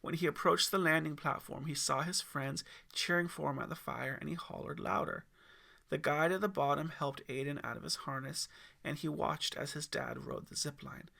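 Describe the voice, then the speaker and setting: Gravelly, bright male storyteller. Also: fairly high pitch, steady tone, normal speed. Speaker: author and audiobook narrator. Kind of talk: expressive scripted story narration.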